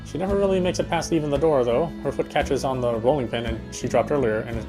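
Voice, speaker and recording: A man acts out an earnest young man in a visual novel game, speaking quickly at an ordinary male pitch. He is introspective, tender and self-deprecating, and guitar music plays behind his voice. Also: lightly acted, poor audio. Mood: dejected, wry. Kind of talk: first-person visual novel narration and dialogue.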